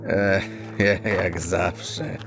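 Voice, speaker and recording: An actor playing a scheming, chummy street thief who talks in quirky, halting, mock-archaic phrases. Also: male voice, low-pitched, little pitch movement, vocal fry, music in the background, exaggerated voice. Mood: sly, confident. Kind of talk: acted fantasy audio drama dialogue.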